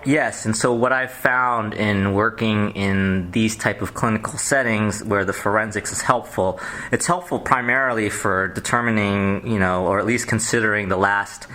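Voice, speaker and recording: A man answering as a psychiatrist in a phone job interview, explaining himself in halting, rambling stretches full of clinical terms. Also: lowish voice, steady tone, bright, forceful tone. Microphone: telephone.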